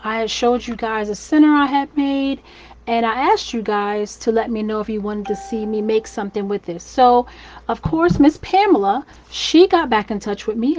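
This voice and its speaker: A woman's voice: fairly high pitch, darkish tone, lo-fi sound. A woman with a scratchy voice from laryngitis, chatting her way step by step through a live craft tutorial. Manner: chatty, friendly, step-by-step instructing. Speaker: wreath maker and shop owner. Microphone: phone or camera livestream.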